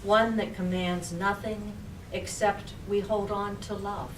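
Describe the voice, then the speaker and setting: Slow-paced woman reciting poetry, distant mic. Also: medium pitch, fairly even intonation. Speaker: poet reading own poem. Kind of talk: poetry recitation at a live reading. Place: public library reading room. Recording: roomy, distant mic, noisy background.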